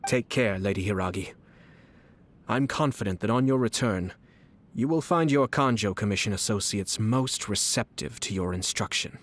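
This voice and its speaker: An actor playing a refined, shrewd young clan lord in a video game, speaking in a formal, measured, courtly way. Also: male voice, fairly low pitch, natural voice. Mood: gracious, assured. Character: refined young nobleman, calm, shrewd clan head and commissioner. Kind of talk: scripted video game character dialogue. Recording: game music behind the voice.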